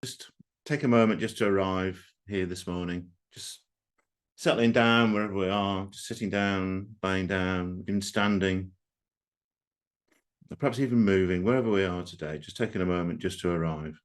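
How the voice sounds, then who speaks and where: A man with a low voice guides a meditation for a group. He speaks gently and takes his time, leaving long, open pauses between short phrases. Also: fairly even intonation. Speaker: meditation guide leading a live group session.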